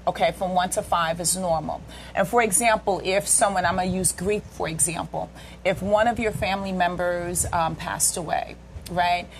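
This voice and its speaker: A woman's voice: on the low side, steady tone, moderate pace, a little hiss or hum. A woman in her forties, a guest therapist, explaining things in an interview and checking in with "right?" and "okay?". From United States. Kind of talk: interview talk.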